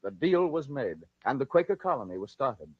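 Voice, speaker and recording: An American man narrating a 1940s radio drama as host, in a theatrical, measured storytelling style. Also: mid-range voice, very animated, husky, no echo. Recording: dull lo-fi 1940s radio recording.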